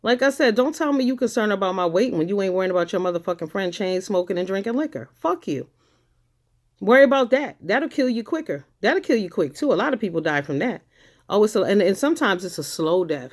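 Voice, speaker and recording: A woman's voice: medium pitch, lively intonation, fairly quick. A woman vlogger ranting to camera, blunt and confrontational, swearing often and hammering points home by repeating them. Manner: blunt, profane, confrontational, repeats phrases for emphasis. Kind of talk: angry ranting monologue to camera.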